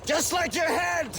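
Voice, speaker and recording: A man's voice: very high voice, exaggerated voice. An actor playing a gloating, taunting male villain officer in a video game, mocking his captive with laughs. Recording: game cutscene with loud background noise.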